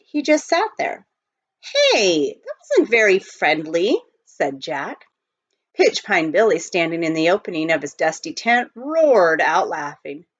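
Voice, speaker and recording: A woman reading a children's novel aloud in an expressive storytelling style. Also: fairly low pitch. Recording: dull lo-fi home recording.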